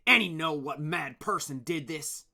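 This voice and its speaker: A man voicing an angry, bossy adult team leader who shouts accusations at workers. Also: mid-range voice, expressive, moderate pace, pitched above the performer's own voice. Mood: furious.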